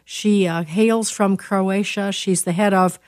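A woman hosting an interview show, chatting with her guest in a halting way, full of restarts. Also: mid-range voice, steady tone, no echo, clean studio sound. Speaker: interview host.

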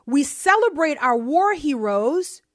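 A woman's voice: sits high, very animated, takes it slowly, no room sound, clean studio sound. A woman from New Orleans with a local accent, co-hosting a casual commentary chat and piling up emphatic rhetorical questions. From New Orleans, USA. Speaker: podcast co-host.

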